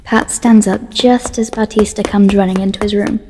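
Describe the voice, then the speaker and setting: A woman's voice: medium pitch, fairly even intonation, moderate pace, mellow, a little hiss or hum. A woman reading scripted present-tense story narration for an audio drama. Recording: long reverb, faint music. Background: faint music.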